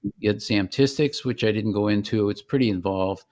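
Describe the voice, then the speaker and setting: A man with a fairly low voice presents his own company's product. He explains it informally, in a conversational, somewhat halting way, as a founder would in a live demo. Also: a little mellow.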